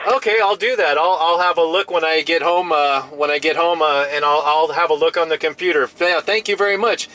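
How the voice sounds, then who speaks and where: A man's voice: fairly high pitch, fairly quick, few pauses, pushed and bright, poor audio. A friendly, chatty man talking casually in radio operator jargon from a parked pickup truck, often repeating himself.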